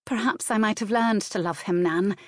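A woman voicing a weary, grieving young noblewoman who speaks quietly. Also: mid-range voice, normal speed, dry recording, studio quality, voice pushed up, close to an everyday voice.

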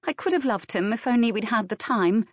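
Reader as young widowed noblewoman, soft and weary, dry close sound. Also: a little high, expressive, fairly quick, no room sound, voice pushed up. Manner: quiet and weary.

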